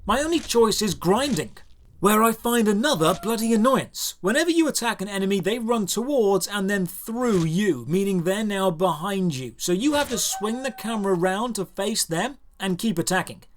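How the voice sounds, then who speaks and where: A man's voice: high-pitched, expressive, moderate pace. A man narrating a scripted video game review in a dry, sarcastic, exasperated manner.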